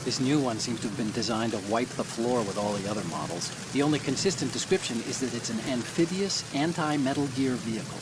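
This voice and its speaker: A man's voice: mid-range voice, fairly quick, few pauses, lightly acted. Man playing a brainy American hacker, heavy background noise. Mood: earnest. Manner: earnest, explanatory, confident. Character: brainy, self-assured male tech-support partner and hacker. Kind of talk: video game voice acting.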